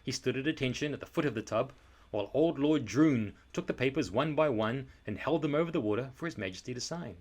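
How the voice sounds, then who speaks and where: A male storyteller with a medium-pitched voice reads a children's book aloud. His narration is expressive and scripted, leaning on key words with deliberate, dramatic emphasis.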